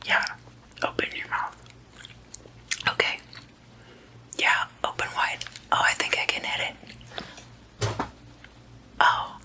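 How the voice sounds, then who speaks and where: Slow whispering ASMR woman. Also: rough recording. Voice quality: soft breathy unvoiced whisper. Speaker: ASMR host. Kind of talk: whispered ASMR, chatty explainer with sleepover roleplay.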